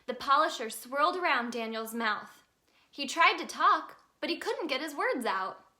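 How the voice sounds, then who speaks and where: Bright, high-voiced woman reading children's stories. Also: normal speed. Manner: expressive, sing-song delivery for young children. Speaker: children's storybook reader, in a tooth fairy persona. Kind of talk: read-aloud children's story narration.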